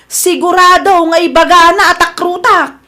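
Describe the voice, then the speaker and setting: A woman's voice: very high-pitched, moderate pace, sharp tone. A woman acting a meddlesome, whiny gossip in a radio comedy drama, flustered and exclaiming. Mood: anxious, whining. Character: whining, meddlesome gossip, a grown daughter.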